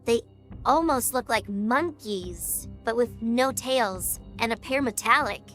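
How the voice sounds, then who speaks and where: Studious young mare played by a woman, high and bright, careful and earnest, cartoon fantasy story with music behind. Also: lively intonation, takes it slowly. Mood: serious, cautious. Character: studious, cautious young female pony. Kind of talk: dramatised fan fiction reading.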